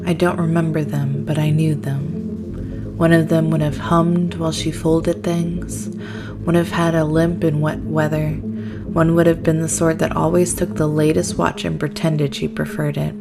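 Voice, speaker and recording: A woman playing a calm, sorrowful survivor of spiritual warfare, speaking in a quiet, measured way in a gothic audio drama. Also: low-pitched, gravelly, music in the background.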